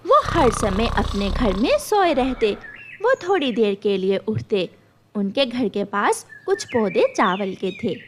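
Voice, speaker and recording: A woman's voice: a little high, slight background noise. A woman storyteller reading scripted narration for a children's tale.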